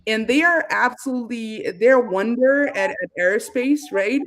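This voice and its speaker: A woman from Argentina with an Argentine accent, a guest telling her career story in a casual interview, lively and laughing between phrases. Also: a little high, moderate pace. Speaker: guest, space company founder.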